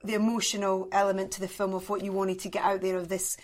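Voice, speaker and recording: A woman's voice: medium pitch, little pitch movement, fast. Enthusiastic Scottish woman interviewer. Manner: enthusiastic, effusive praise. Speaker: podcast interviewer. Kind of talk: friendly interview chat.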